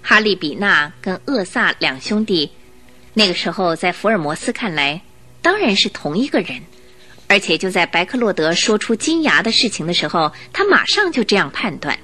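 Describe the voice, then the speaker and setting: A woman reading scripted narration for a Mandarin radio drama with expressive storyteller phrasing. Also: on the low side, normal speed, faint music behind.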